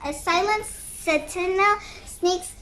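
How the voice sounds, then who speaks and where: A young girl narrates a storybook in a very high voice. Her reading is slow and expressive, with small stumbles on the words. There is faint noise behind her in the home recording. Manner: halting, stumbles over words. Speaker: book read-aloud narrator. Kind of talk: expressive storybook read-aloud with voiced sound effects.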